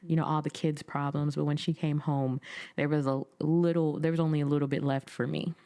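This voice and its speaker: A woman's voice: low-pitched, fairly quick. A woman, co-hosting a podcast and telling stories with laughs and quoted voices. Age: 20s. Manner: laughing, storytelling, animated quoting. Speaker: podcast co-host. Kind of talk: casual chat.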